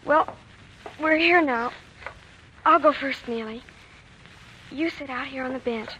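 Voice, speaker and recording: A woman's voice: high voice, a little slow, sharp tone, lo-fi sound. An actress playing an earnest young girl, a loving daughter and protective big sister, in a 1940s radio drama with plain, working-class childlike talk. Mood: bossy, reassuring. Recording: faintly noisy 1940s radio broadcast.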